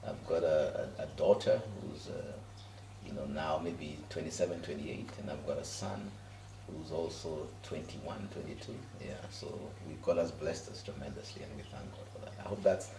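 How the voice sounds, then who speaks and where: A man's voice: extremely low pitch, steady tone, moderate pace, gravelly, warm tone, roomy sound, recorded from a distance, a little hiss or hum, poor audio. A Kenyan pastor in his fifties, easygoing and chatty, talking about his life in a house interview. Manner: easygoing, laughs often, many fillers. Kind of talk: relaxed interview answers. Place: living room in a house.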